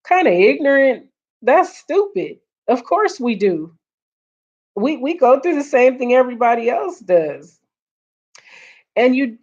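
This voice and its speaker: A woman hosting a casual live stream, earnest and repeating her points, greeting viewers, her voice getting hoarse. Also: mid-range voice, a little mellow. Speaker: livestream host. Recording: dull lo-fi stream audio.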